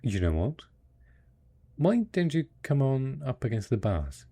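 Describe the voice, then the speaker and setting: A man playing a devoted, patient husband in an intimate post-apocalyptic audio roleplay, coaxing slowly and hesitantly in a soft, husky voice. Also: medium pitch, sing-song. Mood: encouraging, fond. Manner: slow, coaxing, hesitant, talking as if to a child. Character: devoted, patient husband tending zombie spouse after apocalypse.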